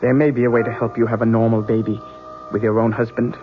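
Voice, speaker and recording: A man as sly, low-voiced old fortune-teller woman. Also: level tone, natural voice. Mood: hard bargaining. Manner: theatrical, measured, businesslike haggling. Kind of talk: 1970s radio drama acting. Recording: dull old radio recording with music.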